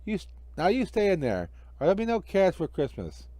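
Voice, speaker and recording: A man's voice: high-pitched, wildly swooping pitch, brisk, mellow, no echo, voice pushed up. A man voicing a vain, greedy, grouchy stage magician in a story, with a theatrical, scolding bluster.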